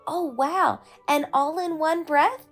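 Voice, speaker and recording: Storybook role: woman reading a supportive martial arts teacher, a man full of praise, lifted pitch, faint music. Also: takes it slowly, fairly bright tone, heavily acted. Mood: encouraging. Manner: encouraging, praising. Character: encouraging, upbeat male martial arts instructor. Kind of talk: children's storybook read-aloud.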